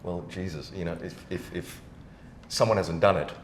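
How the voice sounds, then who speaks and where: Man, low-pitched voice, podcast host, casual chat, echoey room with faint rumbly noise. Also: fairly even intonation, moderate pace.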